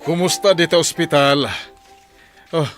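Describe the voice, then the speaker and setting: A man's voice: fairly high pitch, measured pace, a bit bright, soft background music. A man playing a concerned working man asking questions on a call in an Ilocano radio drama.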